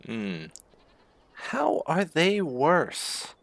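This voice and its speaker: A man's voice: fairly high pitch, wildly swooping pitch, very slow, gravelly, fairly bright tone, no echo. A man hosting a casual roleplay game playthrough, musing and thinking aloud as he goes. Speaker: gaming video host.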